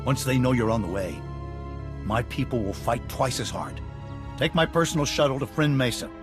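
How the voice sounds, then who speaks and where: A man's voice: mid-range voice, lively intonation, normal speed, music in the background, lightly acted. An actor playing a dignified, troubled civilian resistance leader in a video game, formal and earnest in his emphatic speech. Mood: worried, determined. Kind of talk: video game cutscene acting.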